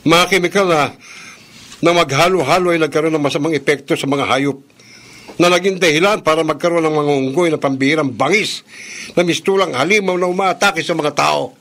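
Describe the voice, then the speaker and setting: An actor playing a guilt-ridden young scientist in a Filipino horror radio drama, earnest and troubled, with a Filipino accent. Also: male voice, fairly high pitch, a little fast, fairly bright tone. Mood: guilty confession. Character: guilt-ridden son, amateur chemical experimenter.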